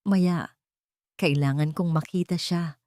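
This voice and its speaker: A woman's voice: lowish voice, fairly even intonation, slow pace, dark tone, no room sound. A woman with a Filipino accent voicing a remorseful, determined wealthy man in a romantic story, earnest and insistent. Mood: pleading.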